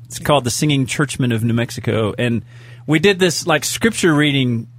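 A man's voice: mid-range voice, animated, normal speed. A man co-hosting a casual podcast chat, telling self-mocking stories. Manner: chatty storyteller, self-mocking. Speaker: podcast co-host.

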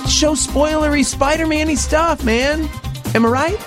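A man hosting a radio show, chatting informally and excitably about pop culture with rhetorical questions. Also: very high voice, a little slow. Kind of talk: animated pop-culture banter. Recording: music playing behind the voice. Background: music.

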